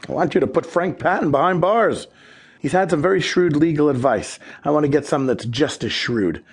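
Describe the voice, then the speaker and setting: An earnest small-town client with money behind him. His voice sits a little high for a man, and he lays things out in a measured, storytelling manner for a radio play. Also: slightly rough. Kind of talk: 1930s-style radio drama acting.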